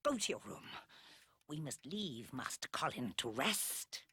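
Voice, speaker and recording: An actress playing a stern, controlling, scheming housekeeper in an animated drama, severe and theatrical. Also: female voice, rumbling low, unhurried, breathy edge, no echo, clean studio sound, heavily acted.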